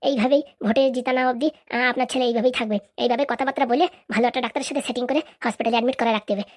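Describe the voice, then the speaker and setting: A woman plays a cunning, boastful henchwoman in a comic cartoon. She speaks high and extremely fast. Her manner is assertive and conspiratorial, as she soothes her boss like a fixer. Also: fairly even intonation, natural voice. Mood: calculating. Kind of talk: comic cartoon drama acting.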